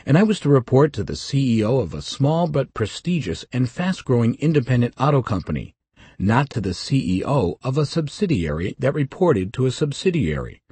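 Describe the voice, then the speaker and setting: An older man from Switzerland with a Swiss accent, reading his memoir in a measured, dry storytelling manner. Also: medium pitch, dark tone, breathy edge, no echo. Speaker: memoir narrator. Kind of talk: scripted first-person narration.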